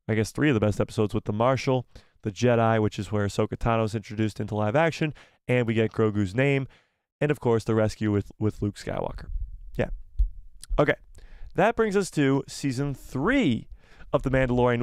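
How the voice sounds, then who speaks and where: A man's voice: mid-range voice, darkish tone, clear, resonant tone, no echo, clean studio sound. A man hosting a live fan stream, giving firm opinions, reading viewer replies aloud, and losing his voice. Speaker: host of a live fan show.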